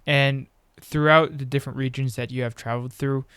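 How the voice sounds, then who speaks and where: A man's voice: mid-range voice, steady tone, brisk, fairly bright tone, clean tone, no room sound. A man hosting a podcast, asking brief questions to prompt his guest. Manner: brief, prompting, many short acknowledgements. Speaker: podcast host, interviewer. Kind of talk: interview questions.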